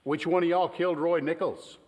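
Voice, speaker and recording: A man acting a low-key, patient small-town sheriff with a Southern accent and a folksy, hesitant manner. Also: fairly even intonation, brisk, darkish tone, close to an everyday voice. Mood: firm, patient. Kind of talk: low-budget film dialogue.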